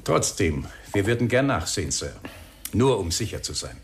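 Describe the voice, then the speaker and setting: An actor in a German radio crime drama playing a calm, persistent police inspector, polite and matter-of-fact. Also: male voice, lowish voice, normal speed. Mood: polite, insistent.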